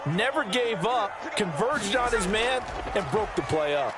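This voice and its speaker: Emphatic high-pitched American football analyst. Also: male voice, lively intonation, unhurried, very noisy. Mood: admiring, analytical. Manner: emphatic, folksy, explanatory. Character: male American football analyst in the broadcast booth. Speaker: color commentator. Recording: game music and crowd over commentary. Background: loud music and stadium crowd.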